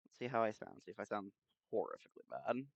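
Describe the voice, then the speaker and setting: A man chatting casually and haltingly as he hosts a livestream, speaking into a headset mic. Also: lowish voice, very animated, gravelly, no echo, muffled recording. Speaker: game streamer, host. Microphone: new headset microphone.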